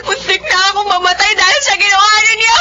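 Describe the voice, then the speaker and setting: A woman playing Nanette, a young woman who is hurt and grieving, going from tearful accusation to soft, whispery tenderness. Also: very high-pitched, quick pace. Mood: tearful anger. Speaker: lead character. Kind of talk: dubbed melodrama acting. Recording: dull lo-fi sound with faint music.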